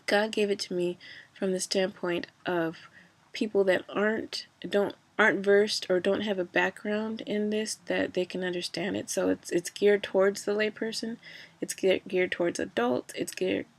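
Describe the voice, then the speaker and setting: A woman's voice: medium pitch, fairly even intonation, normal speed. A woman talking in a casual personal vlog, rambling with many fillers and restarts, a bit stuffy from a cold.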